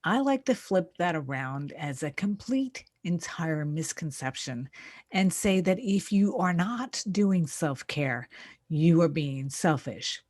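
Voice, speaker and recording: A woman's voice: deep voice, expressive, takes it slowly, a little mellow, dry recording, studio quality. A woman hosting a self-help radio show, talking conversationally in an encouraging, emphatic way. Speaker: radio show host, therapist and life coach.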